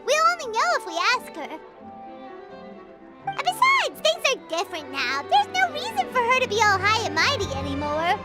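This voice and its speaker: A woman voicing a tiny, girlish floating companion who is chatty and excitable and talks about herself in the third person, in a video game cutscene. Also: extremely high pitch, normal speed, full character voice. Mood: breezy. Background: loud game score music.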